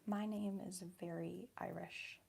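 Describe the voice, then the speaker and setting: A young woman with a Midwestern accent chatting softly for an ASMR video, slipping between whispers and a quiet, giggly voice as she answers viewers. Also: fairly low pitch, steady tone, unhurried, gravelly, a little hiss or hum. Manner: soft-spoken, drifting between whisper and quiet voice. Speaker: ASMR creator. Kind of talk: ASMR chat while crafting.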